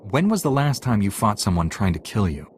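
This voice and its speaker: Earnest, deep-voiced young Jedi. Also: male voice, sing-song, fairly quick, a little hiss or hum. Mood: serious, questioning. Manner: serious, dutiful, firm. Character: earnest, resolute young male Jedi padawan hero. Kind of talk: video game cutscene acting.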